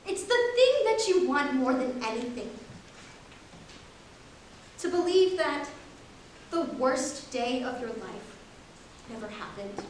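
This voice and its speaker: A woman playing a grieving, pained parent of a murdered son, speaking in a hushed, halting way on stage. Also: sits high, very animated, heavily acted. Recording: very echoey hall, distant mic, hiss.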